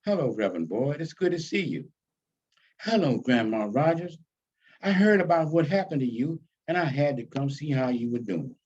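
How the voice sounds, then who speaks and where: A man's voice: a little high, lively intonation, normal speed, darkish tone, husky, muffled recording. A man voicing a friendly, respectful adult visitor, polite and easygoing, in a storybook reading.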